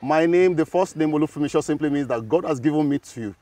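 Animated Nigerian man, slightly off-mic. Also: fast talker, recorded from a distance. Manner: animated, rises high when telling stories, laughs easily. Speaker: guest professor. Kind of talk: interview conversation. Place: outdoor garden set, seated. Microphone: lapel.

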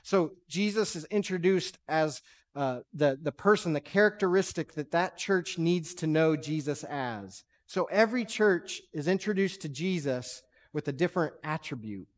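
A man in his thirties preaches from a church stage, his voice sitting a bit high. He talks in a relaxed, conversational way, posing questions to the congregation. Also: moderate pace. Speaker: pastor. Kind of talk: sermon. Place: large church sanctuary stage.

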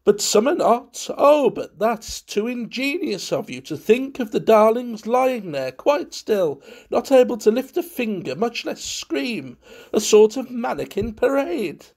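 A man's voice: high-pitched, animated, normal speed, vocal fry, a little mellow, voice pushed up, heavily acted. A man voicing a flamboyant, affected society wit in a storybook, with theatrical, gleeful, lofty prattle. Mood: ecstatic. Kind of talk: dramatised storybook reading.

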